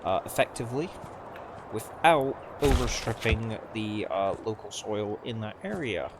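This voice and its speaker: Low-voiced man with a sore throat, noisy recording. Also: lively intonation, measured pace. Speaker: video game let's player. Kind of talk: casual gameplay commentary. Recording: clearly noisy background.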